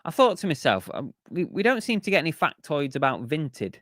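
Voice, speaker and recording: A man's voice: on the high side, very animated, brisk, a bit bright, dry recording, clean studio sound. A man hosting a casual live stream about reselling, rambling with fillers and restarts while his voice is giving out. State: losing his voice.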